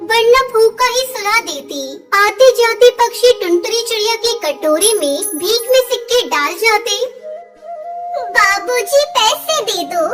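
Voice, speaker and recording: A woman with an extremely high voice narrates a children's moral story. She reads as a scripted storyteller, with loud music underneath.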